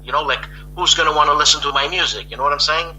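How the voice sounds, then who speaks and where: Man on a bright call line. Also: fairly high pitch, very fast, a little hiss or hum, poor audio. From Quebec, Canada. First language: Italian. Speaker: guest, composer and wargaming channel host. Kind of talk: casual interview over a call. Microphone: call audio.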